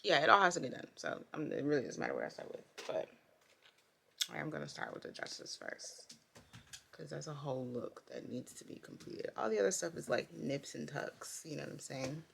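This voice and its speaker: A woman costume designer talking casually through a vlog, low-key and tired from long late-night work. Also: big pitch swings, a little fast, creaky, fairly bright tone, a little hiss or hum. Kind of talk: casual vlog talk and voice-over. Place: theater at night.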